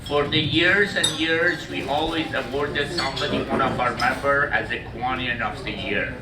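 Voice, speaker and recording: A man presenting club awards at a gala, reading the certificates aloud in a formal, measured way. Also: mid-range voice, steady tone. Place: large banquet hall. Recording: echoey hall, far-off mic, background noise.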